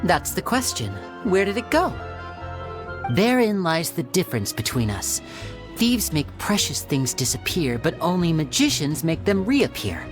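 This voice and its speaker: A man's voice: fairly high pitch, big pitch swings, unhurried, music in the background. An actor playing a suave, confident young male stage magician with a polished, showman-like delivery. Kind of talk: video game dialogue.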